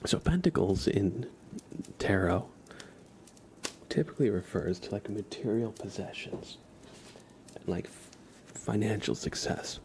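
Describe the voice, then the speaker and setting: A man hosting an ASMR livestream in a soft, hushed voice that often nears a whisper, chatting and reading in a laid-back, gently joking way with halting pauses. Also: sits low, background noise. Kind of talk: ASMR, casual chat and tarot reading for viewers.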